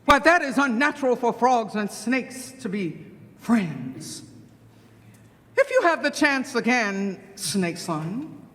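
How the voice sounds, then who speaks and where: A man voicing a stern, scandalized mother snake who lectures her son in a deliberate, insistent way for a story. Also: medium pitch, big pitch swings, a bit bright. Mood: sly instruction. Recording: long hall reverb.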